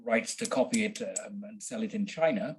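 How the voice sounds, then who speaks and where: A man, a guest on a podcast, telling his background casually with many pauses and ums. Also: fairly low pitch, slight background noise. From Switzerland, raised in central England. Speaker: guest, patent agent. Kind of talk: casual interview talk.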